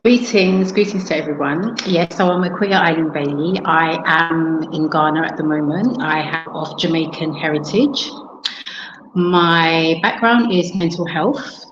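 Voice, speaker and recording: A woman guest introducing herself on a talk show, speaking in an unhurried way with fillers. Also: deep voice, noisy background. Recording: echoey, dull call audio.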